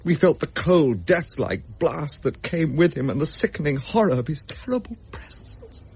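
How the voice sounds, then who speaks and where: An actor as a haunted young gentleman, husky voice. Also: on the high side, very animated, full character voice. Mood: hushed dread. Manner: grave, old-fashioned storytelling with halting stammers. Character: haunted, shaken young gentleman recounting a ghostly encounter. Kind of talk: dramatic period radio drama narration.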